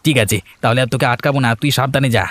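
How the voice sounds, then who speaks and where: A man's voice: medium pitch, rapid-fire, fairly bright tone, dry recording, close to an everyday voice. A man voicing a friendly, eager village man in a cartoon, chatty and helpful. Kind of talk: cartoon voice acting.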